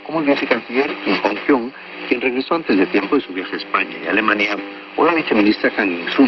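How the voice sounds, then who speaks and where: A man reading scripted radio news in a formal, measured way, heard over a shortwave broadcast. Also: lowish voice. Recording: muffled, very noisy distant shortwave radio.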